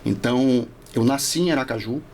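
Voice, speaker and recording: A man's voice: mid-range voice, moderate pace, a little mellow, slight background noise. A man in his forties from Salvador with a Northeastern Brazilian accent, chatting reflectively and explaining things as an interview guest. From Salvador, Bahia, Brazil. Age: 40s. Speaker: podcast guest, law professor. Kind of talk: casual interview chat.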